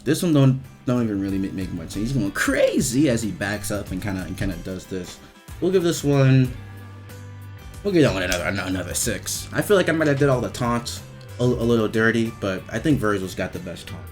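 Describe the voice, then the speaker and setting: A man giving casual, slangy, profane commentary as he rates video game voice lines, with an animated, emphatic delivery. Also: fairly low pitch, brisk, faint music behind.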